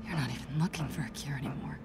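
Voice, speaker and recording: A woman's voice: extremely low pitch, very fast, vocal fry, very noisy. An actress playing a tough, dry-witted female spy hero in a video game cutscene, clipped in manner. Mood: muttering, tense. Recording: music behind the voice.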